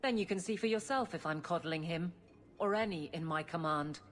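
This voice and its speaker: An actress in a video game playing a stern, proud guard captain who speaks bluntly and firmly. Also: female voice, on the low side, a little fast, lightly acted. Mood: resolute. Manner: blunt, firm.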